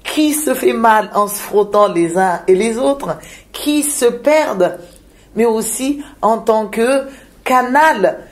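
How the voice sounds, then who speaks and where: A woman from Madagascar, a shaman, speaking in a reflective interview with frequent pauses, hesitations and repeated words. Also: on the high side, lively intonation. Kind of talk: reflective spiritual interview talk.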